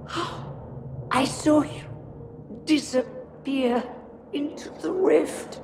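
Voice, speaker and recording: A weak, doomed woman prisoner in a video game. Her speech is laboured and extremely slow, on a highish pitch. Clear ambient noise surrounds her. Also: full character voice. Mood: weak, haunted. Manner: halting, laboured. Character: sick, doomed female prisoner, weak and grave.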